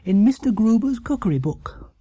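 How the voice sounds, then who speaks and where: A man's voice: high voice, big pitch swings, moderate pace, dark tone, dry recording, pitched above the performer's own voice. A man with an English accent voicing an earnest, self-important young bear in a children's storybook, careful and polite. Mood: proud.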